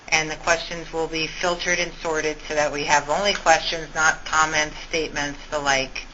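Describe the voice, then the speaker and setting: A woman explains procedures step by step in an informal briefing. Her voice is fairly low and clearly bright, coming through a dull phone conference line with faint noise. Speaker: school meetings organizer briefing a conference call. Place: conference call over telephone. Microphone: telephone.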